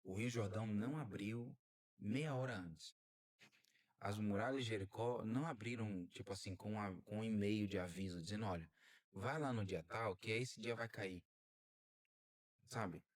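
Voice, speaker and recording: A man hosting a podcast interview, talking in a lively way and drifting into preacherly asides. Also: lowish voice, normal speed. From Brazil. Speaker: podcast host. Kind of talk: casual interview.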